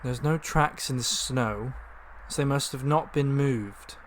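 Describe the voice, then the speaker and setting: A man acting as a sombre member of a polar expedition in a radio drama, deliberate and questioning. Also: medium pitch, a little hiss or hum, close to an everyday voice. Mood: grim puzzlement.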